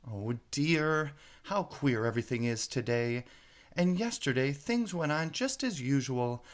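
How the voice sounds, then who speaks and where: Man voicing a high-pitched, bewildered storybook girl. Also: lively intonation, measured pace, close to an everyday voice. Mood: puzzled. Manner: exclamatory, musing aloud to herself. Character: young girl Alice, bewildered, dramatic, self-chiding. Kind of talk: children's storybook character voice.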